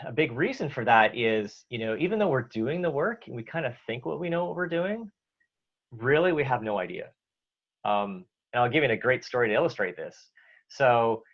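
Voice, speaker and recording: A man with a Canadian accent, a guest answering interview questions in a relaxed way, with many fillers and restarts. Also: on the low side. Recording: dull lo-fi audio.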